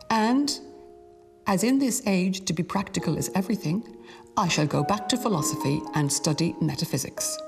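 A woman's voice: fairly low pitch, lightly acted. A woman reading aloud voices a self-absorbed young male student who speaks with eager, lecturing earnestness. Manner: eager, then petulant and lecturing.

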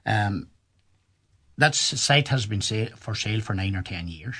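Brief: Donegal Irish male councillor, husky and lowish voice, measured. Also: animated, fairly bright tone. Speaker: sitting councillor.

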